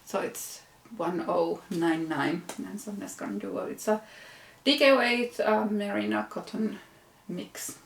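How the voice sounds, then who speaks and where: A woman's voice: medium pitch, steady tone, takes it slowly, vocal fry, roomy sound, slight background noise. A Finnish woman with a Finnish accent, a knitting podcast host, chatting casually with frequent fillers and self-corrections. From Finland. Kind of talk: casual show-and-tell chat.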